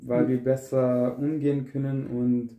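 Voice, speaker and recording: Flat, dark-voiced nineteen-year-old man. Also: mid-range voice, a little slow, echoey room. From Pakistan. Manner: short, careful answers. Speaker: student, interviewee. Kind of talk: answering interview questions.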